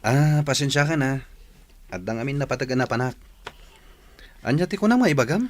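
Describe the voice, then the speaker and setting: A man's voice: mid-range voice, expressive, moderate pace, natural voice. An actor playing a cocky, evasive young ladies' man in an Ilocano radio drama. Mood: defensive. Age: young adult.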